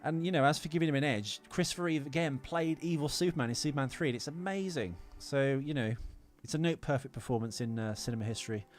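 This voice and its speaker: A man's voice: on the high side, fast, hardly any pauses, faint music behind. A man co-hosting a podcast in casual, opinionated film chat, ranting emphatically and swearing freely. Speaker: podcast co-host.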